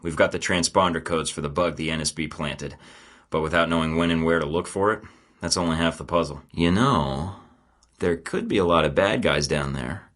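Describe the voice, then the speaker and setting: A cocky young secret agent from a video game who trades dry, sarcastic quips. His voice sits low yet has a forceful, bright edge. He sounds like an everyday guy rather than a heavily put-on character. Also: normal speed. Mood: wry. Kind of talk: game voice lines.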